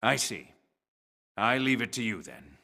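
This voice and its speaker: A man playing a middle-aged director general in a video game, speaking in a measured, formal, authoritative way. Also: medium pitch, expressive, vocal fry, pushed and bright, heavily acted. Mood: formal, pleased. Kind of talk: video game cutscene acting.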